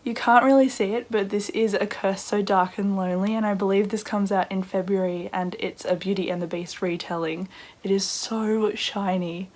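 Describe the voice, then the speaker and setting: A woman with a croaky voice, chatting casually in a book vlog with excitable asides. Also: medium pitch, moderate pace, slight background noise. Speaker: book vlogger.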